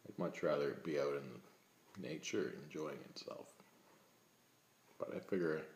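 A man's voice: a bass voice, measured pace, darkish tone, slight background noise. A man in his thirties playing a naive, milk-loving vegetarian in a comedic webcam monologue, rambling earnestly and awkwardly at a table indoors. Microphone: laptop webcam, close. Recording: echoey room sound.

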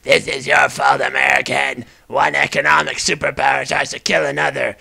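Gravelly, furious villager. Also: male voice, mid-range voice, lively intonation, moderate pace, sharp tone, full character voice. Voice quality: raspy, gravelly. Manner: accusing, ranting. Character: angry, bitter foreign villager blaming an American.

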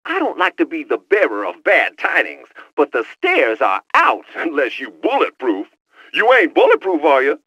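A cocky, slangy hacker sidekick. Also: male voice, fairly high pitch, wildly swooping pitch, normal speed, sharp tone, no echo, muffled recording, heavily acted. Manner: boastful, teasing, slangy street talk. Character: cocky young male hacker sidekick on a headset. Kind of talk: video game cutscene voice acting.

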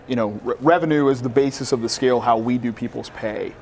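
A man in his thirties speaks as a business owner being interviewed. His voice sits at an ordinary male pitch and his delivery is informal and explanatory. There is faint ambient noise around him. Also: quick pace, a little mellow. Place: large hotel-style lounge, indoors. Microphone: lapel mic.

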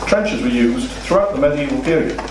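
A man's voice: mid-range voice, lively intonation, a little fast, background noise. A middle-aged Welsh man with a Welsh accent giving an illustrated lecture to a seated audience, explaining steadily and posing rhetorical questions. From Wales. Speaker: seminar speaker. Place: meeting room with a seated audience. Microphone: far room recording, no mic seen. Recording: very echoey room, distant mic.

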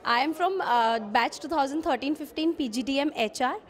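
A woman's voice: high voice, fairly quick. A young woman from India with an Indian English accent giving a hesitant alumni testimonial into a handheld mic. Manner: hesitant, trailing off to quiet thanks.

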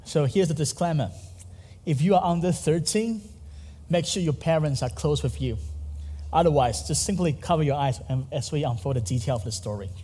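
A man's voice: fairly high pitch, moderate pace, dark tone, slightly rough. Taiwanese man preaching in a hall. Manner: earnest, gesturing while speaking. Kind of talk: sermon. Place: church stage, dark curtains. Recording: long reverb of a large hall.

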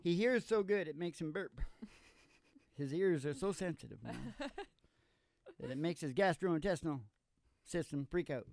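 A man's voice: on the high side, expressive, a little slow, vocal fry, pauses often. An older man hosting with casual, joking banter in a rambling manner. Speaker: podcast host and singing musician. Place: small curtained studio stage. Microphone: stand microphone. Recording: live with loud acoustic guitar. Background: acoustic guitar.

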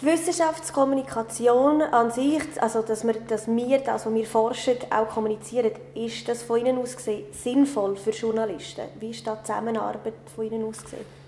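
A woman, asking an interviewee polite, measured questions in an office. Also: on the high side, steady tone, mellow, roomy sound. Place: office, indoors.

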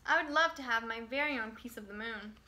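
A woman voicing a small excitable female mouse in a read-aloud storybook, eager and exclaiming. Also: a little high, a little fast, a little hiss or hum, low-quality recording.

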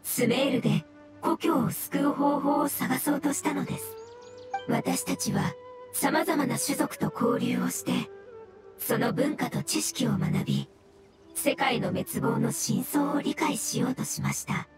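A solemn, learned fungus spirit from a video game, with a high-pitched voice. The creature speaks politely and precisely, in long formal explanations. Music plays prominently behind it. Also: female voice, expressive, a little slow. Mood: solemn, wistful. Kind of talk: Japanese video game dub acting.

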